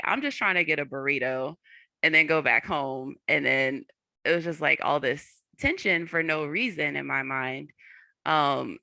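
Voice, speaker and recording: Young adult panel guest, earnest and candid, gravelly texture, dry close sound, lots of "like" and "um". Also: mid-range voice, bright, forceful tone, dry recording. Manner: frequent "like" and "um" fillers, earnest.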